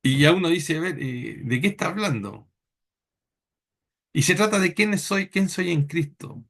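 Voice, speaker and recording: A man from Chile, preaching a sermon in church in an earnest, halting way with many repeated words. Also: on the high side, lively intonation, creaky, fairly bright tone.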